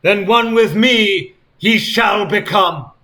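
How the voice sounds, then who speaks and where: A man's voice: high voice, slow, pushed and bright, pitched above the performer's own voice, heavily acted. A man voicing a crazed, ravenous male cartoon hedgehog who declaims in grand, archaic phrases. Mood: grandiose.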